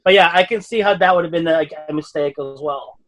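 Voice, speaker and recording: A man's voice: a little high, a little fast, a bit bright. A man from Europe whose first language is not English, co-hosting a podcast and telling a rambling story with laughs along the way. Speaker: podcast co-host. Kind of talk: casual chat.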